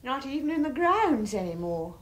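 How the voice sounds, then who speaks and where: An actress playing a timid, anxious wife in a radio drama, hesitant and meek, with a breathy voice. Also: female voice, sits high, big pitch swings, moderate pace, a little hiss or hum. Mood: worried. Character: timid, anxious, gentle wife pleading with her husband. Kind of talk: 1980s British radio drama acting.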